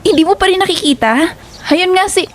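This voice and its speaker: A woman's voice: extremely high pitch, lively intonation, fairly quick. A woman playing a carefree, teasing young tenant in a horror audio drama, with a light, playful manner. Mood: easygoing.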